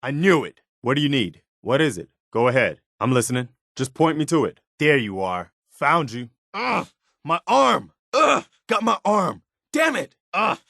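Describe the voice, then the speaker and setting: A man's voice: fairly high pitch, sing-song, unhurried, gravelly, sharp tone, no echo, studio quality, exaggerated voice. A man voicing an earnest, dutiful militia soldier, a loyal and principled game companion who speaks plainly and sincerely. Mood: urgent, strained combat. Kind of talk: video game companion voice lines.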